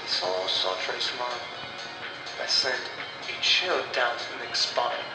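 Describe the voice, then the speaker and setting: A man narrating a scripted horror story as the storyteller, in a deliberate, dramatic way with pauses. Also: mid-range voice. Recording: echoey, distant-sounding voice over music. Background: music.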